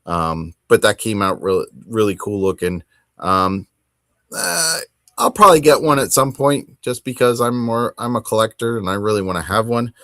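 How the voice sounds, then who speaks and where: A man with a fairly low voice hosts a hobby show on his own. He talks casually and conversationally, thinking aloud and wandering a bit from thought to thought. The sound is dry, with very little room to it. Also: moderate pace, a bit bright, no echo. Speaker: host of a tabletop wargaming hobby show. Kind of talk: casual solo hobby commentary.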